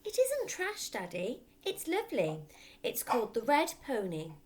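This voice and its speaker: Woman as a high-voiced, earnest little girl. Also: wildly swooping pitch, measured pace, slight background noise. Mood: pleasant, eager. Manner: pleasant, pleading, eager.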